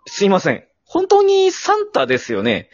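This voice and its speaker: A man playing a flustered, sceptical father as the straight man in an amateur comedy radio drama, quick to protest. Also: extremely high pitch, wildly swooping pitch, lo-fi sound, exaggerated voice. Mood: subdued, polite.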